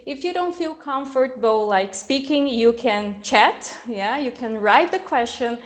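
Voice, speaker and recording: A woman in her forties from Brazil hosts a relaxed online chat over a video call. Her voice sits high, and she keeps checking in with the listeners, encouraging them to join in. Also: measured pace. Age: 40s. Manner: encouraging, often checks in with "yeah?". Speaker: host and English teacher.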